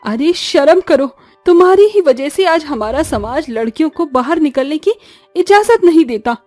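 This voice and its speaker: Woman acting a high-voiced, earnest young vet. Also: expressive, moderate pace, a little mellow, no room sound, faint music behind. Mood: indignant, scolding. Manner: earnest, firm. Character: caring, principled young village woman animal doctor. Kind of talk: cartoon story voice acting.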